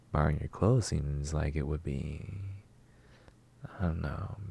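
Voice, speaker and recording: A man acting a shy, polite, flustered neighbor in a roleplay ASMR, hesitant, apologetic and breathy. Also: rumbling low, heavily acted. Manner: hesitant, soft-spoken, apologetic, breathy sighs and whispers.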